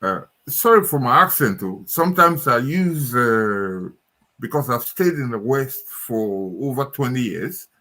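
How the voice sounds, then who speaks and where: A man's voice: mid-range voice, lively intonation, a little slow, bright, forceful tone. A man from Nigeria speaking as a panelist, hesitant with many fillers.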